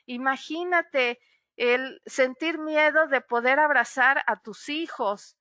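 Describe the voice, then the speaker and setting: A woman's voice: high voice, slow, sharp tone, no room sound. A middle-aged psychologist woman giving a webinar talk straight to the listener, explaining patiently with frequent "eh" fillers.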